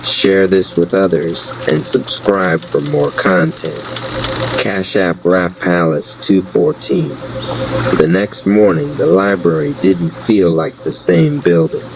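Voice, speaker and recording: Male narrator for a spoken-word audio drama, low-pitched and unhurried, with clear background noise. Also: expressive.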